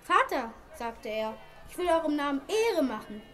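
Woman playing a high-voiced, proud merchant father. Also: big pitch swings, normal speed, fairly bright tone. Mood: reminiscing. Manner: emphatic, aggrieved declaiming. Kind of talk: amateur radio play acting.

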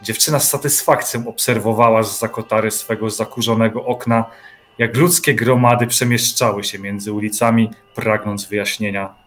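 A man's voice: fairly low pitch, steady tone, normal speed, a bit bright, soft background music. Man narrating a literary audio drama.

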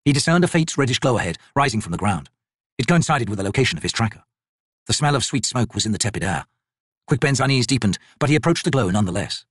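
A man's voice: medium pitch, big pitch swings, fast, fairly bright tone, no room sound, studio quality. A man reading dramatic fantasy narration as an audiobook narrator.

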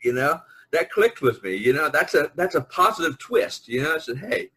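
A man's voice: on the high side, sing-song, normal speed, sharp tone, slightly rough, dry recording, low-quality recording. An older man hosting a casual group chat among artists over an online call, speaking in a rambling way peppered with "you know". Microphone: online video conference call.

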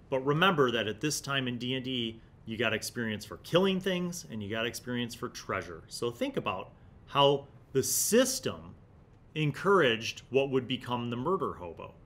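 A man's voice: medium pitch, fairly bright tone. Man in his forties, explaining. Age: 40s. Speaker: host of a gaming review series. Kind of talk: magazine read-aloud with conversational commentary. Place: indoor studio set. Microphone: desktop microphone.

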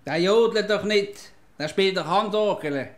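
An actor playing a mocking, blustering middle-aged husband with a Swiss German accent in a comic radio play. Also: male voice, high voice, a little slow. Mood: puzzled, insistent. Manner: blustering, repetitive, sarcastic. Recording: old radio play with music behind.

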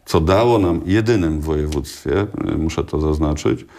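Deep-voiced man, measured and hesitant. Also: warm tone. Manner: measured, hesitant, many filler sounds. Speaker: hospital director, studio guest. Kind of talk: radio studio interview answers. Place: small TV studio.

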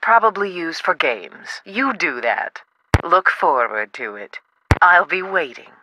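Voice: mid-range voice, very animated, slow, background noise. An actor playing a self-assured, chatty, theatrical historical novelist in a video game. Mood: chatty, proud. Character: high-spirited, self-promoting writer of historical novels, local history buff. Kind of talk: video game character dialogue.

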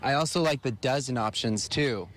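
An enthusiastic male TV reporter interviewing a vendor outdoors. His voice sits at a middle pitch, and he talks into a handheld mic. He compliments the food and asks lively, leading questions. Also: moderate pace. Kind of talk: live TV interview. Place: outdoor park by the ocean.

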